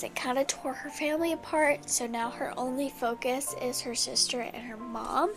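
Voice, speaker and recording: Chatty high-voiced woman, congested. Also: fairly bright tone. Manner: chatty and rambling, with many restarts. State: congested from a cold. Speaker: book vlogger. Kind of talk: casual chat.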